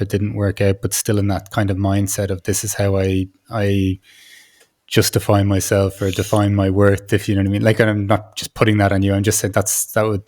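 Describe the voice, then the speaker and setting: A man's voice: on the low side, darkish tone. A man hosting a conversational podcast interview, feeling his way through long questions with many restarts.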